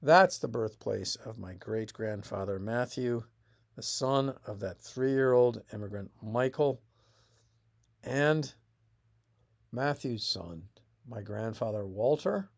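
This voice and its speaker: A man narrating a scripted piece of family history as its author, in a measured reading with emphatic phrase openings that fall away. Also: medium pitch, vocal fry. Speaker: author reading own essay.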